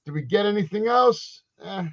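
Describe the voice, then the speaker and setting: A man in his forties co-hosting a casual wrestling podcast, ranting loudly and slipping into mock wrestler catchphrases. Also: high-pitched, expressive, moderate pace, dull audio. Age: 40s. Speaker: podcast co-host.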